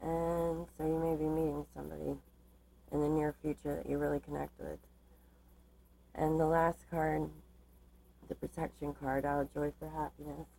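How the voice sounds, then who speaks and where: Low, flat-voiced woman with a tiring, creaky voice. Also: moderate pace, pauses often, slight background noise, rough recording. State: slightly losing her voice. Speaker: tarot card reader. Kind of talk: tarot reading, part read aloud from cards, part informal explanation.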